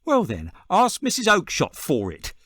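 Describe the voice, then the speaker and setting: A furious stallholder, acted by a male narrator in an audiobook, bullying and fierce. He shouts in a raised, animated voice with very little room sound. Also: sits high, a little slow, dry recording, clean studio sound, voice pushed up, full character voice.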